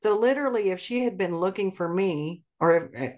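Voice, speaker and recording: A woman's voice: medium pitch, expressive, moderate pace, a little mellow. A middle-aged woman from South Korea, a podcast guest speaking earnestly and carefully, spelling out names and details. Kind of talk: conversational interview.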